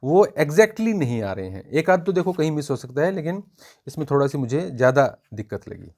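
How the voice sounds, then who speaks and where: A man giving an informal spoken review for students as an exam coach, explaining in a measured way and pointing out examples. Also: fairly high pitch, big pitch swings, a little mellow.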